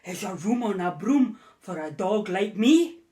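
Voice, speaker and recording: A keen, friendly storybook dog voiced by a woman, pitched lower than the narrator and eager to please. It is slow and unhurried. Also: animated, dark tone, lightly acted.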